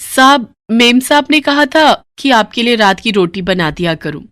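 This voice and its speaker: A scheming young cartoon housemaid, acted by a woman narrator, speaks in a raised, animated voice. She is melodramatic and manipulative, whining and wheedling her way through each line. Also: fairly high pitch, moderate pace, pitched above the performer's own voice. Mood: insistent. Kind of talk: acted Hindi cartoon story dialogue.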